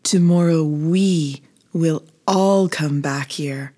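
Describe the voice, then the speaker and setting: A woman's voice: low voice, slow pace, mellow. A woman voicing a curious, cheerful little female bumblebee in a children's story, full of eager wonder. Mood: wonder, delight.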